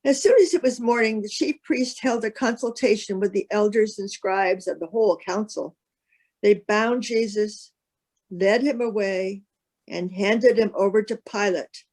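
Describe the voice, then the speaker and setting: A woman narrating a solemn Bible reading as a scripted piece, with measured, careful phrasing. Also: mid-range voice, darkish tone.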